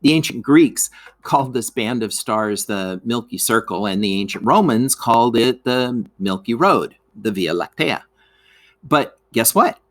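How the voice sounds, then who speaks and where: A man reading a scripted educational lesson aloud for children, as a teacher, in an expressive way that speaks straight to the listeners. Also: medium pitch. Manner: expressive, addresses listeners with questions.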